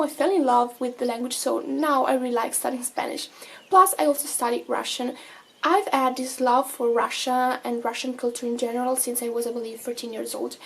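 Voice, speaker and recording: Chatty Italian teen girl vlogger. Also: high-pitched. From Italy. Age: teens. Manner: chatty, many fillers and self-corrections. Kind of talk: casual vlog talking to camera.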